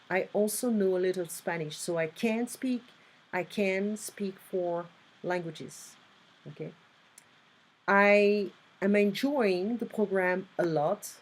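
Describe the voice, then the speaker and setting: A woman's voice: medium pitch, low-quality recording. A woman tutoring English one-on-one online, patiently explaining grammar and reading exercises aloud.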